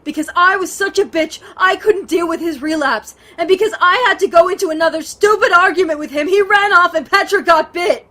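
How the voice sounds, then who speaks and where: A woman's voice: very high voice, a little fast, few pauses, sharp tone. A woman playing a bitter, guilt-ridden, foul-mouthed woman in an audio drama, blunt and defensive in manner. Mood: guilty, regretful, then self-loathing outburst.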